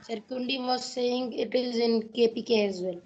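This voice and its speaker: Higher-voiced female student, dull audio. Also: moderate pace, darkish tone, slight background noise. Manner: answers and asks questions, addresses teacher as sir. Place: classroom.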